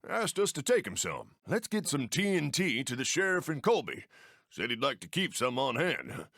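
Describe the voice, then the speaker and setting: A friendly frontier railroad engineer from a video game, with an American accent and a folksy, colloquial way of talking. His pitch is mid-range and swings very widely as he talks. The recording is dry and close, with no room sound. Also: wildly swooping pitch, moderate pace, fairly bright tone, no echo, heavily acted. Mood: helpful, earnest. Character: friendly folksy Old West steam train engineer. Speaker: mission-giver game character. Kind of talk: animated video game voice acting.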